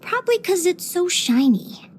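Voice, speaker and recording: A woman voicing a bubbly, curious and sweet young female adventurer in a video game, with an expressive, chirpy delivery. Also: very high-pitched, normal speed. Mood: warm, excited. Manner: expressive, chirpy, with sighs and giggles. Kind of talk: scripted video game character voice lines.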